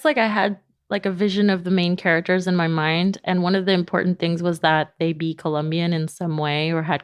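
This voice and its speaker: A woman's voice: medium pitch, clear, resonant tone, dry recording, studio quality. A woman speaking casually as an interview guest, explaining things informally and sprinkling in "like". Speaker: podcast company founder, guest.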